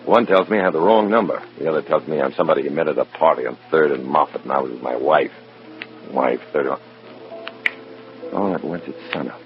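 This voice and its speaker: An actor playing a tense undercover operative in an old radio drama, terse and hard-boiled, also narrating in the first person. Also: male voice, extremely low pitch, brisk, soft background music. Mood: bleak, brooding. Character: tense, cornered undercover operative posing as another man. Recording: dull, noisy vintage radio recording.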